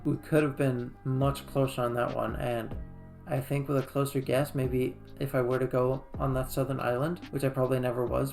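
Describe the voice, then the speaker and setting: A man's voice: medium pitch, gravelly, a little mellow. A man from Iceland giving casual game recap commentary in a loose, conversational way. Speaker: gaming video creator. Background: faint background music.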